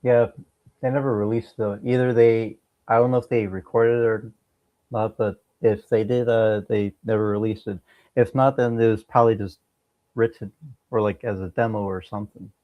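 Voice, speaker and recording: A dull, lo-fi recording of a man with a fairly low voice. He is a podcast host chatting casually, putting in short, low-key remarks. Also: steady tone, moderate pace. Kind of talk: casual chat.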